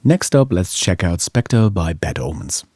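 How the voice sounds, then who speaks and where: A man's voice: low voice, very animated, measured pace, a little mellow, slightly rough, no echo, clean studio sound. A man giving scripted tutorial narration as an audio engineering instructor.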